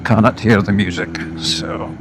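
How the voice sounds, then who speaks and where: Slow-talking Scottish man over loud road noise. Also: low-pitched. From Scotland. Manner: halting, pausing between short phrases. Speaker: motorcycle vlogger. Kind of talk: casual unscripted product review while riding. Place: outdoors on a moving motorbike. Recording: loud motorbike wind and engine noise.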